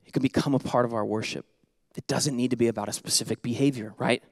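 A man in his thirties preaching a sermon on a church stage into a handheld mic, animated and joking, then dropping to soft earnest tones. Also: mid-range voice, fast, vocal fry, no echo, studio quality. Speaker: pastor.

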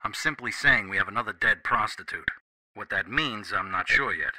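An actor playing a police officer who brings bad news in a blunt, serious way. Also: male voice, on the low side, lively intonation, moderate pace, pushed and bright, no room sound, exaggerated voice, poor audio. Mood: grave.

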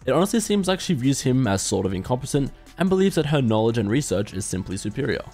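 A man's voice: mid-range voice, sing-song, quick pace, a little mellow, faint music behind. A man presenting scripted commentary for a video game lore video.

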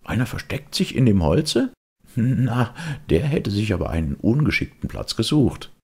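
A man playing a gravelly, blustering old carpenter. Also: lowish voice, sing-song, moderate pace, a little mellow, voice pushed up, exaggerated voice. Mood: baffled, blustering. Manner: muttering, blustering, talks to himself. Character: simple, fearful old carpenter, blustering to calm himself.

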